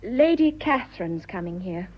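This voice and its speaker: A woman's voice: mid-range voice, big pitch swings, normal speed. An actress with a British accent playing an earnest, hesitant, idealistic young wife in her twenties in a radio drama. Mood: nervous. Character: romantic, idealistic, nervous young English wife of twenty-five. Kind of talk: stage comedy radio drama acting. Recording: slightly dull, lo-fi audio.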